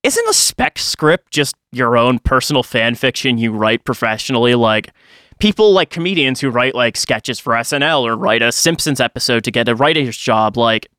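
Bright-voiced man chatting on a podcast. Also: medium pitch, very animated, normal speed, no echo, clean studio sound. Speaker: podcast co-host. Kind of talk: casual podcast chat.

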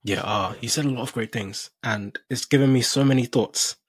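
A man's voice: medium pitch, moderate pace, slightly rough, no room sound. A man hosting a podcast, thinking aloud in a reflective, conversational way with long exploratory sentences. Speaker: podcast host.

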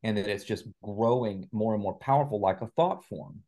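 A man's voice: on the low side, moderate pace, warm tone. A middle-aged man speaking as an interview guest, giving earnest, explanatory answers in a conversational way. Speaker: guest, author and healing practitioner.

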